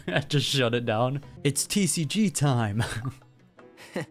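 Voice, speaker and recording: A man's voice: mid-range voice, expressive, extremely slow. A young man giving casual, slangy commentary as he reacts to a video game, easily amused. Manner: amused, frequent chuckling, slangy. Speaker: gaming reaction YouTuber. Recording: game music behind voice.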